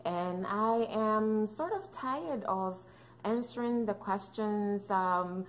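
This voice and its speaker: A woman's voice: medium pitch, slow pace, slight background noise. A woman, chatting casually to camera as a vlogger, playful and joking with lots of fillers. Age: 30s. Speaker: fitness and mom vlogger. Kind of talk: casual chatty vlog talk.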